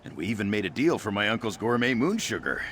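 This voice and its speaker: A man's voice: lowish voice, very animated, fast, fairly bright tone, slight background noise. A man playing a cheerful, ambitious young orc trader in scripted video game dialogue. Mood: relieved, happy.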